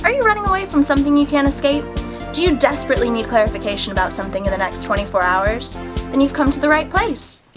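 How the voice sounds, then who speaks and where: A strange mystical guardian delivers a showy sales pitch. Her voice is high and fast with big swoops, theatrical and rhetorical, as in a film drama. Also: expressive, over music. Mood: showy, inviting.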